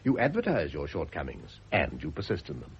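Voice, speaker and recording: Male for urbane, ironic British husband in radio play; low voice, very mobile delivery, self-indulgent wit. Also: huge pitch swings, fairly quick, slightly rough, exaggerated voice. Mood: cynical, philosophising. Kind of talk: classic radio drama acting. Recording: muffled, noisy old radio recording.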